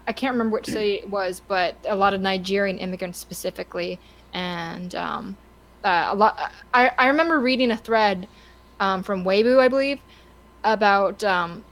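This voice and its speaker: A woman guest on a casual podcast, talking in halting, self-repeating phrases. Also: mid-range voice, low-quality recording.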